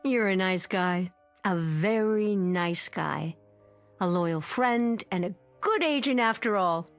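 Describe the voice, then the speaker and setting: A proud, middle-aged screen diva, theatrical and haughty with a wistful streak. It is vintage television drama, with music faintly behind the voice. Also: female voice, mid-range voice, slow. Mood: wistful, sardonic. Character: proud, wistful, temperamental aging female film star. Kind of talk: mid-century television drama acting.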